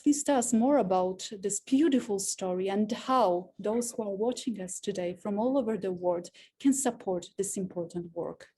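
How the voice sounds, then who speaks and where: A woman hosting an online event, introducing a guest in an effusive, earnest and halting way. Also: mid-range voice, warm tone, a little hiss or hum. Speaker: event host, moderator.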